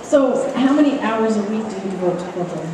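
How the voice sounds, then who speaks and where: A woman's voice: medium pitch, expressive, a little fast. A woman interviewing a quilt artist, asking short, friendly prompting questions at a show. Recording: echoey hall from far off, background noise.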